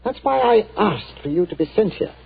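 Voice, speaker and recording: An actor playing a hearty army general in a 1940s radio drama, often hesitating with "uh". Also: male voice, sits high, animated, normal speed, vocal fry, husky, heavily acted. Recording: tinny old radio broadcast.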